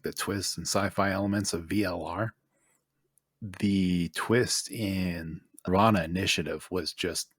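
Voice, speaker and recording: A man's voice: deep voice, fairly bright tone, slightly rough, no room sound, studio quality. A man co-hosting a gaming podcast, chatting casually about what he has played. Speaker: podcast co-host.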